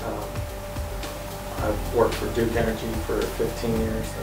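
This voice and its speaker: Flat, low-voiced man in his forties. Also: creaky. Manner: halting, many fillers. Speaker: interviewee. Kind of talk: personal story interview. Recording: roomy, distant mic, music underneath. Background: music.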